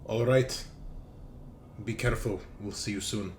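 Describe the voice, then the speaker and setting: A man's voice: on the low side, steady tone, a little slow. A man running a tabletop game as game master, chatting casually and often repeating phrases as he thinks aloud. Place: voice call on headset. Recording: clear background noise.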